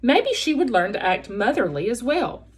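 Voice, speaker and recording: A woman reads a children's chapter book aloud as a teacher would. Her delivery is expressive, with very varied pitch and a slightly husky voice. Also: moderate pace.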